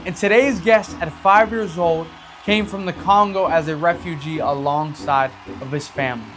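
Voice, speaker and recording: Host guy doing the intro, pretty high voice, loud music under him. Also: fairly even intonation, moderate pace. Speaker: podcast host. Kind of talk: scripted show intro.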